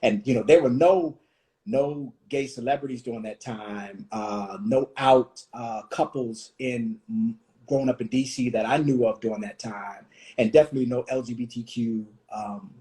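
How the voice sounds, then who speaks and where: A man in his forties from Washington, D.C., hosting a reflective conversation, thoughtful and full of pauses and restarts. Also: medium pitch, warm tone. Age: 40s. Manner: thoughtful, many fillers and restarts. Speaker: host.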